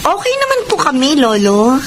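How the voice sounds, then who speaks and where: A woman's voice: high voice, wildly swooping pitch, moderate pace. A woman playing a sweet, respectful young adult daughter in a Filipino radio drama, with a Filipino accent. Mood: apologetic, fond. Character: polite, giggly young adult daughter and granddaughter. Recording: faintly noisy radio drama broadcast.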